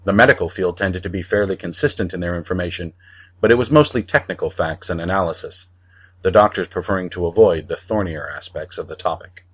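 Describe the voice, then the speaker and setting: A man narrating fiction from a script as an audiobook reader, in a measured storytelling manner. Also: deep voice, husky.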